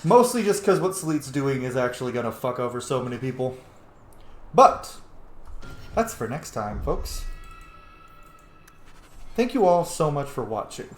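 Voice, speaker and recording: Female let's-play host: low pitch, very animated delivery, informal and jokey, roomy sound. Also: a little slow, long pauses, a little hiss or hum. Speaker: gaming video host. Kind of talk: casual playthrough commentary. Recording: echoey room with faint music. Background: faint game music.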